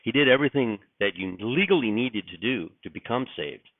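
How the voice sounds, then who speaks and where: Man, raspy morning voice, rambling reflection, phone-like sound, dry. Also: medium pitch, animated, a little fast, dry recording. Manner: rambling, musing, frequent restarts and fillers. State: raspy from just waking up. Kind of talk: casual spoken reflection.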